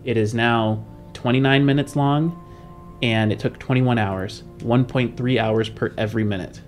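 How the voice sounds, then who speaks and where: A man's voice: medium pitch, fairly even intonation, normal speed. Young man chatting casually over music. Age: young adult. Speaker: podcast host and game master. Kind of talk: casual podcast chat.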